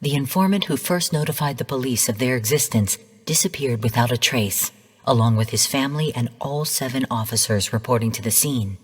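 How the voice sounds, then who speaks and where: Cool, commanding AI mentor in long reverb. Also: female voice, rumbling low, breathy edge. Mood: cool warning. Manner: measured, formal, cool, precise. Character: female artificial intelligence mentor, calm, stern, commanding, cryptic. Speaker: game guide and mission narrator. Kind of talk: scripted video game mission briefing.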